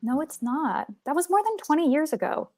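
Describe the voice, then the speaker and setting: A woman acts out a dismissive young camper girl who scorns the tale. Her voice is high and swings widely in pitch, in the manner of a horror script table read. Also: lively intonation, normal speed, darkish tone. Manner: scornful, contradicting.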